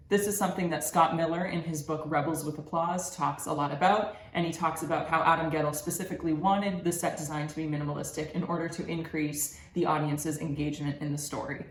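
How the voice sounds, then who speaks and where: Young female student presenter, low register, explanatory and articulate, reading prepared research in a roomy space with slight background noise. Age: young adult. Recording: echoey room with background noise.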